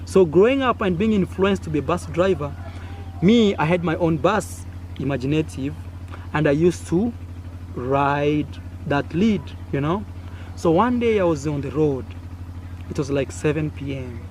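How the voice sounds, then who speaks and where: A young man with a Kenyan accent, whose first language is Swahili, tells a story outdoors in an animated, chatty way. His voice sits a little high, and he acts out sounds as he goes. Faint village noise runs behind him. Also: unhurried. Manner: animated, acts out sounds and gestures with frequent fillers. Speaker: content creator. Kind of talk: casual storytelling to camera.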